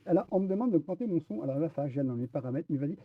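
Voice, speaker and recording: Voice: medium pitch, expressive, very fast, narrow, tinny audio. An interviewer asking friendly questions in a casual chat, laughing easily.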